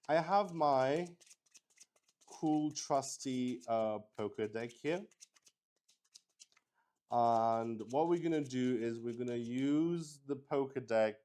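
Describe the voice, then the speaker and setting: A man hosting a solo tabletop roleplay game, improvising narration and thinking aloud with hesitant pauses. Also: mid-range voice, a little mellow, clear, resonant tone.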